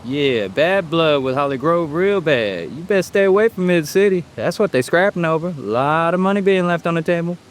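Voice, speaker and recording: An actor playing a wary, sly street hustler and police informant in a horror audio drama, talking in streetwise slang. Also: male voice, a little high, lively intonation, normal speed, few pauses, natural voice. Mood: confiding, sly. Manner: streetwise slang, speaks of himself in third person.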